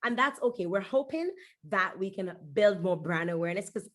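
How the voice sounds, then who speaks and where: A woman from Dominica, telling stories in an animated, conversational way as an interview guest. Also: mid-range voice, a little fast. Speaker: podcast guest.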